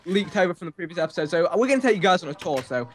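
A high-pitched young-sounding male voice hosts a casual multiplayer game. He improvises as a guide, making playful mock-official announcements to the group. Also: very animated, very fast. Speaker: gamer playing a prison warden and guide.

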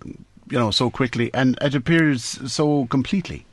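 A man's voice: medium pitch, normal speed, no room sound. A male radio host, interviewing a band in a small studio, talking hesitantly with many fillers and repeated words. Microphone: studio boom mic. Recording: dry close studio sound.

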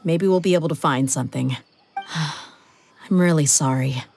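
A woman playing a tough, level-headed young desert mercenary in a video game, measured, matter-of-fact and pondering as she speaks. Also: sits low, gravelly, a little mellow. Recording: faint game music behind the voice.

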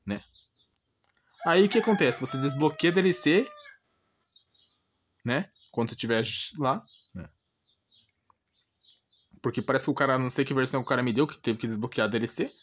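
A man with a Brazilian Portuguese accent gives an informal, blunt rant, exasperated and repeating himself with rhetorical questions. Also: medium pitch. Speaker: gaming channel host.

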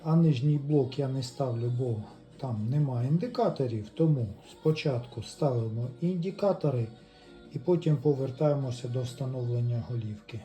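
A man with a dark, mellow, mid-pitched voice talks through hands-on repair work. He sounds like a hobbyist thinking aloud, casual and a little tentative as he goes step by step. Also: moderate pace, soft background music. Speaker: hobbyist repairer presenting a DIY video.

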